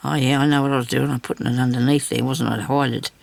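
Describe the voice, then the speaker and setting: Older aussie lady w a deep croaky raspy voice n leftover cough, chatty rambly craft vlog, puts herself down a bit. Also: level tone, fast talker, dry recording. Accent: Australian. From Australia. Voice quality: low, gravelly, husky. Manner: rambling, self-deprecating, tag questions. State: lingering cough after weeks of sickness. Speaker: craft video maker. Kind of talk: casual chat while hand stitching.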